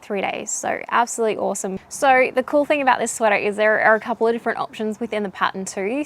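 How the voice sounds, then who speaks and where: A woman with an Australian accent, chatting casually and bubbly about knitting as a vlogger, recorded outdoors on a camera mic. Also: mid-range voice. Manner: chatty and bubbly, laughs often. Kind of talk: casual chat. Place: outdoors in a garden.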